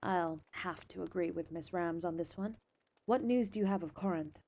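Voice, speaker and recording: Actress: calm noblewoman advisor, slightly low, measured delivery.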